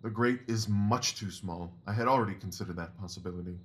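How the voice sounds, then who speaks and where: An actor playing a keen, self-assured Victorian detective in an audio drama, speaking in a precise, deliberate way. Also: male voice, on the low side, lightly acted. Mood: impatient, didactic.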